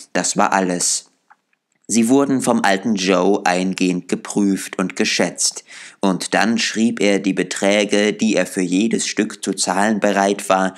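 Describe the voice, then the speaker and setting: A male narrator reads an audiobook in an unhurried, deliberate way. His voice sits low, and he takes each phrase carefully. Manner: measured, deliberate storytelling. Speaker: audiobook reader. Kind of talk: scripted narration.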